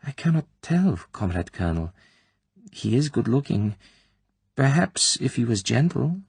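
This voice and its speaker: A man's voice: mid-range voice, big pitch swings, a little slow, vocal fry, darkish tone, husky, dry recording, voice pushed up, full character voice. A man doing a timid young woman soldier. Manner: timid, hesitant and obedient. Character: young frightened female Soviet corporal, docile.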